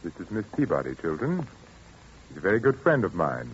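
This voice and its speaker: A man's voice: low-pitched, sing-song, a little fast. Theatrical widowed father, wide-swinging delivery. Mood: genteel, insistent. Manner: theatrical, commanding. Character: overwrought widowed father, outwardly genteel, insistent and menacing. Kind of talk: 1940s radio suspense acting. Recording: muffled, noisy 1940s radio recording.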